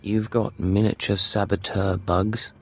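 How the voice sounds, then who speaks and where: A cool, self-assured secret agent in a sci-fi game. His voice is low and husky, and his delivery is curt and cocky, with music underneath. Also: flat delivery, a little slow. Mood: dry surprise. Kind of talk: video game voice acting.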